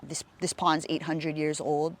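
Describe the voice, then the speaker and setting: Unhurried Hawaiian woman, slow talker. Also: low-pitched, little pitch movement. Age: middle-aged. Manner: unhurried, pausing often with fillers, warm laughs. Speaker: fishpond nonprofit director. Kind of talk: reflective interview talk.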